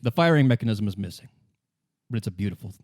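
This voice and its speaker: A man's voice: on the low side, animated, quick pace, darkish tone, no room sound, studio quality, natural voice. A man voicing a proud old royalist former guard, courteous and deferential, in a tabletop game. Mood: helpful, courteous.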